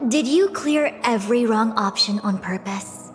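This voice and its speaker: A woman's voice: on the high side, close to an everyday voice. A woman voicing a composed, haughty young seer in a video game, speaking formally with dry authority. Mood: grave, imperious. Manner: formal, measured, dryly imperious. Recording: long hall reverb, game music behind. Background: game music.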